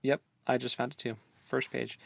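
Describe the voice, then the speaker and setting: A man's voice: medium pitch, flat delivery, moderate pace, creaky, a bit bright. A man co-hosting a casual book discussion with laid-back, hesitant phrasing. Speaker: co-host of a book read-along discussion.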